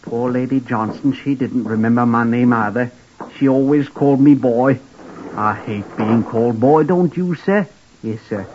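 A man's voice: mid-range voice, slight background noise, lightly acted. A man playing a simple, overly polite young hotel lift boy from Blackpool who keeps calling people sir, in 1940s radio acting style. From Blackpool, Lancashire. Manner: overly polite, says sir constantly, apologetic. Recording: lo-fi vintage radio recording.

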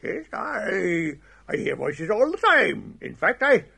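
A man playing a hesitant, stammering male psychoanalyst with a gravelly, husky voice. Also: high-pitched, huge pitch swings, takes it slowly, bright, forceful tone, pitched above the performer's own voice, exaggerated voice. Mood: flustered.

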